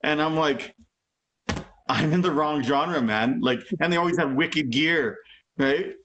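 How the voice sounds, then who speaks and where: A man's voice: a little high, a little slow, a bit bright, a little hiss or hum. A man in his forties, a guest drummer chatting casually, rambling with lots of "you know" and "like". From Alberta, Canada. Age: 40s. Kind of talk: casual chat. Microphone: video call.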